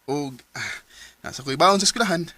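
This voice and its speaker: An actor playing a cheerful teenage son who drives a motorbike taxi, talking in a lively, affectionate way and laughing easily in a radio drama. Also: male voice, a little high, moderate pace. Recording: noisy background.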